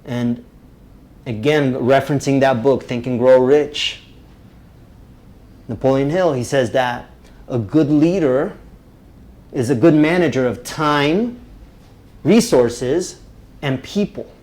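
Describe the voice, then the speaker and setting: A man from Romania, teaching a live public speaking workshop, energetic and often checking in with the group. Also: mid-range voice, takes it slowly, long pauses. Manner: energetic, checks in with "right?" constantly.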